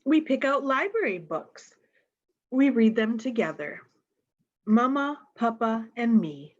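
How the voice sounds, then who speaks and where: A woman's voice: fairly high pitch, sing-song, slow pace, highs cut off. A woman reading picture books aloud to young children, talking to them directly and asking simple questions. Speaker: storytime reader.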